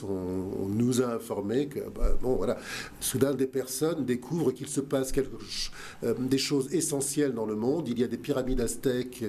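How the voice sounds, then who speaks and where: A man's voice: medium pitch, warm tone, slight background noise. A man guest on a talk show, speaking earnestly with many fillers and restarts. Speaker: guest, museum director. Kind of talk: radio talk show interview.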